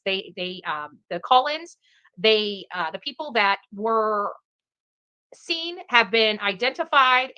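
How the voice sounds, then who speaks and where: A woman's voice: mid-range voice, pushed and bright, rough recording. A woman talking as an online true crime commentator in a livestream style, emphatic and given to repeating her phrases. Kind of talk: livestream case commentary and casual chat.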